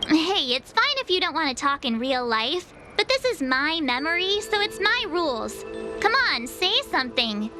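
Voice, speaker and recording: A woman voicing a bubbly, candid, easily flustered girl adventurer in a video game, informal and expressive. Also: high-pitched, normal speed, over music. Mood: incredulous, cheeky. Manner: informal, hesitant, expressive, playful. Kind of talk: scripted video game voice acting.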